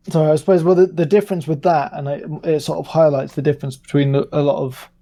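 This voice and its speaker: A man in a casual discussion, explaining his point in a measured, hedging way. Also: fairly high pitch, steady tone, dark tone. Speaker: panelist.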